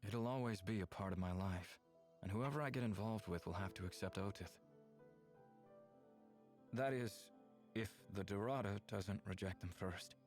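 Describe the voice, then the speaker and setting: An actor playing a gentle, melancholy alien man in a visual novel, speaking in an earnest, measured, subdued way. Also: lowish voice, natural voice. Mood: serious, wistful. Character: gentle, melancholy adult male alien neighbor. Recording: music under the voice.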